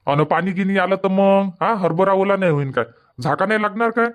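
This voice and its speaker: A man's voice: sits high, brisk, lightly acted. A man voicing a stubborn middle-aged village farmer husband in a comic cartoon, grumbling back. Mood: arguing. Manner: grumbling, placating, arguing back. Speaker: cartoon voice actor.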